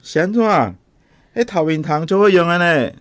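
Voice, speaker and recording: A man's voice: fairly high pitch, moderate pace, a little hiss or hum, natural voice. A man playing an easygoing village medicine seller in a radio drama, with a Taiwanese accent. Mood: amused.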